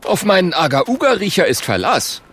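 A man's voice: high voice, big pitch swings, normal speed, fairly bright tone, slight background noise, voice pushed up. A man voicing a boastful but cowardly, easily flustered Stone Age caveman in a children's story, in a blustering manner. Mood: cocky. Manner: blustering, stammering when scared. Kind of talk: children's audiobook character voice.

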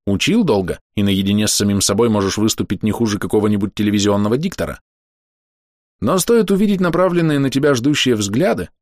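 A man narrating a novel in the first person, telling the story in a measured, conversational way. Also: on the low side, dry recording, studio quality. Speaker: audiobook narrator.

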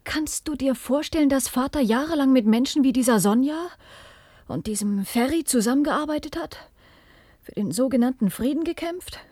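A woman's voice: high-pitched, lively intonation, moderate pace, a little mellow, no room sound, clean studio sound. An actress playing an anguished young daughter in a radio play, intense and pained as she pleads. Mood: pleading. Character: anguished young daughter defending her interned father.

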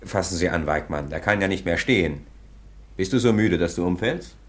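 A brisk, commanding police chief in a radio play, snapping out orders. His voice is deep and swings widely up and down. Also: animated. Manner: curt orders.

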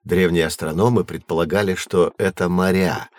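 Actor as low-voiced patient astronomy guide, man. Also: fairly even intonation, no room sound, lightly acted. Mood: explanatory, patient. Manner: measured, explanatory, reassuring to children. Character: patient knowledgeable adult man guiding children through astronomy. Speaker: teacher-guide in educational audio drama. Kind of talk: scripted educational audio drama, explanatory.

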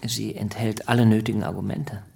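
Ernster, unnachgiebiger junger Politiker. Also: male voice, on the low side, little pitch movement, fairly quick, gravelly, a little mellow, husky, exaggerated voice. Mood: stubborn. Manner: polite, precise, unyielding. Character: earnest, stubborn, unassuming male young politician, quietly self-assured. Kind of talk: German radio drama acting.

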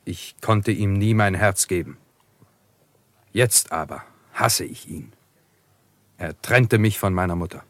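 A proud young warrior in a radio western. His voice is fairly low and dryly recorded, and his delivery is earnest, formal and terse, with a fiery temper. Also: takes it slowly, long pauses, dry recording. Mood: earnest, pained. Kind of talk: German radio drama acting.

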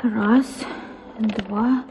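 A man's voice: high-pitched, steady tone, drawn-out words, slight background noise, voice pushed up, exaggerated voice. A man putting on a flustered young wife. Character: young woman, flustered and childish. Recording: lo-fi radio reading.

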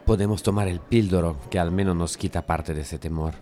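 A man's voice: deep voice, fairly even intonation, a little fast, mellow, natural voice. An actor from playing an unsure, curious man who tentatively confides private worries to a friend. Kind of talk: Spanish audio fiction dialogue.

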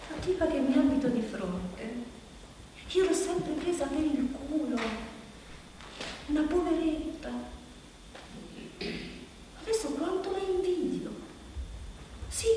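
Anxious high-voiced woman in an echoey hall. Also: darkish tone. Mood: bitter envy. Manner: halting, repetitive, insistent, with rhetorical questions. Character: anxious, bitter woman nearing forty, exhausted and self-reproaching. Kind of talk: theatrical stage monologue, confessional. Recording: echoey hall from far off, background noise.

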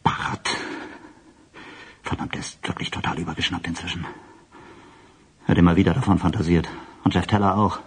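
A man playing a grave, alarmed doctor in a radio drama, speaking in a halting, stunned way. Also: sits low, fairly even intonation, husky. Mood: agitated, grave. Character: alarmed, grave male doctor grasping a catastrophe.

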